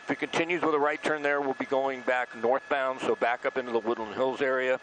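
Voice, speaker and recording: A man's voice: mid-range voice, steady tone, brisk, fairly bright tone, slight background noise, low-quality recording. A man giving live breaking-news commentary as a helicopter reporter, explaining and speculating as events unfold. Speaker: helicopter news reporter covering a police pursuit.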